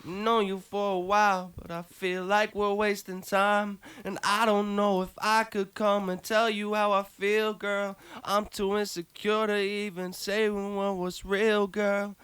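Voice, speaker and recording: A man singing a slow R&B vocal through autotune, airy and crisp with the low end rolled off. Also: flat delivery, fairly bright tone, no room sound. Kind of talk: autotuned sung vocal demo.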